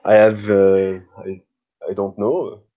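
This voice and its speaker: A man's voice: lowish voice, little pitch movement, slow. A man improvising as a player in a tabletop role-playing game, speaking in a hesitant, politely formal way. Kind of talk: improvised roleplay narration. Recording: dull lo-fi microphone sound.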